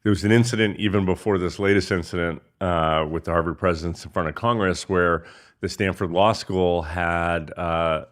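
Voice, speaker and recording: A man from Egypt, a podcast guest, explaining things in measured, storytelling fashion. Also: sits low, steady tone. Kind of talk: casual interview.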